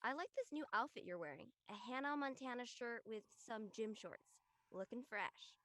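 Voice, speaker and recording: A woman playing a chatty, supportive, trend-minded high-school student in an amateur script reading. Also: lively intonation, moderate pace, fairly bright tone. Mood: teasing approval. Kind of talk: amateur audio drama table read.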